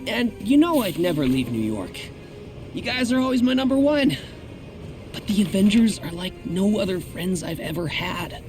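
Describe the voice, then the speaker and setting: An eager young superhero from a video game, chattering in a lively, swooping voice over music. He is quippy and self-deprecating, tossing jokes at himself as he goes. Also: high voice, normal speed. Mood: earnest, rambling. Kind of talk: video game cutscene acting.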